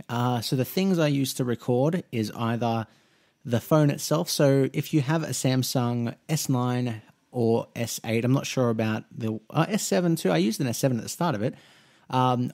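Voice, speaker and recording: A man's voice: medium pitch, darkish tone. Australian man, twenties, casual gaming vlog, rambling, chatty. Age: 20s. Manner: rambling, with frequent restarts and fillers; easygoing, chatty. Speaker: mobile gaming YouTuber.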